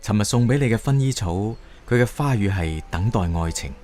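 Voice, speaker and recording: A man's voice: lowish voice, lively intonation, a little fast, darkish tone, slight background noise, close to an everyday voice. An actor playing a tender, reserved young man in love in a radio drama, with a Hong Kong Cantonese accent. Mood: tender farewell.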